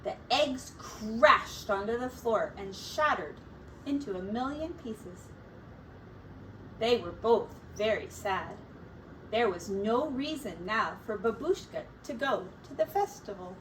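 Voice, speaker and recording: Slow, high-pitched woman reading a storybook. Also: lively intonation, a bit bright. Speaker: teacher reading a picture book aloud. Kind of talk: children's storybook read-aloud. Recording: distant mic with faint background noise.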